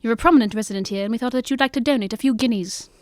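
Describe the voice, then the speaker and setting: A woman acting a shrewd male detective in an amateur audio drama, brisk and genteel with old-fashioned phrasing. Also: fairly high pitch, no echo, clean studio sound, close to an everyday voice. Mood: genteel, persuasive. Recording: clearly noisy recording.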